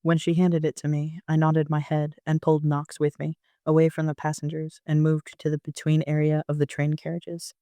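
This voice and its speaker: A man narrating a first-person story like an amateur audiobook reader, with an even storytelling delivery. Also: a little high, normal speed, hardly any pauses, dry recording.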